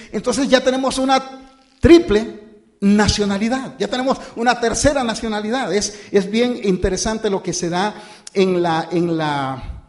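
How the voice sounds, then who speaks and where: High-voiced pastor, halting sermon. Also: male voice. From born outside the United States. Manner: informal, halting, with many fillers and restarts; addresses listeners as brothers and "usted". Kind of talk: conversational sermon.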